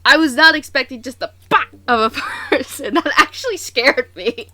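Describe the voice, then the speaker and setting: A woman hosting a reaction video, commenting casually in an animated, exclamatory, slangy way. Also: high voice, moderate pace, no echo.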